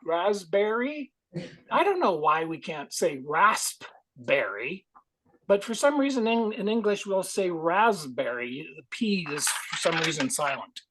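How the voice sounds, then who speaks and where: A man's voice: high voice, very animated, measured pace, fairly bright tone, rough recording. A man, giving a musing, digressive grammarian's report at a speaking club. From Canada. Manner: musing, digressive, friendly explainer.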